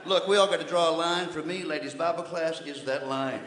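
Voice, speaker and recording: A man's voice: on the high side, fairly even intonation, a little fast, a bit bright, lightly acted. A man playing a blustering, indignant preacher, delivering a comic mock sermon with emphatic pulpit cadence on a live stage. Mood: grumbling. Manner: emphatic pulpit delivery, swinging from muttered asides to hollering. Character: blustering, indignant old-fashioned revival preacher, male. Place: large indoor theatre stage before an audience. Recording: echoey hall, live stage, audience noise.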